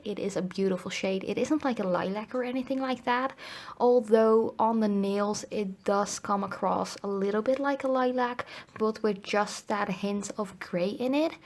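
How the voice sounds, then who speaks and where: A woman's voice: medium pitch, a little mellow. A woman from the Netherlands giving a casual, chatty review voiceover, her voice slightly cracky from a cold coming on. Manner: chatty, informal, frequent hedging. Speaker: nail polish reviewer.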